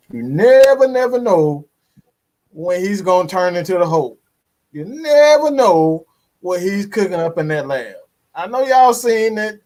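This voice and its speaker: A man's voice: high-pitched, a little mellow, poor audio. A man hosting a casual sports talk stream, boasting, swearing freely and hyping up the audience. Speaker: sports talk show host. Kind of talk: casual live stream banter.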